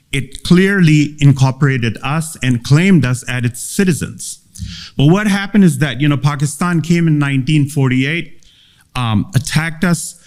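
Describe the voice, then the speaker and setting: A man's voice: mid-range voice, a little mellow. A man from Gilgit-Baltistan whose first language is Tibetan, giving an earnest seminar talk with frequent fillers and restarts. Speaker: seminar speaker. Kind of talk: impassioned public talk.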